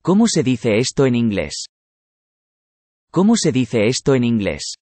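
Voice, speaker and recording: A man reading a vocabulary list in a measured way, saying each word twice for learners. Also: medium pitch, big pitch swings, mellow, dry recording. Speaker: Spanish vocabulary reader.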